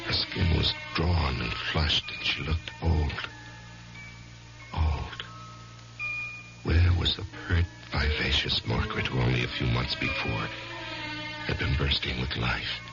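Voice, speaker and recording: An actor playing a weary, guilt-torn husband in a brooding, hushed 1970s radio mystery style. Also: male voice, rumbling low, slow pace, vocal fry, long pauses, exaggerated voice. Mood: brooding, tormented. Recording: dull old radio recording with music.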